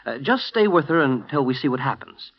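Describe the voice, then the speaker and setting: A man's voice: mid-range voice, big pitch swings, brisk. An actor playing an earnest middle-aged male insurance executive in a 1950 radio drama, hesitant and coaxing. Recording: dull 1950 radio transcription.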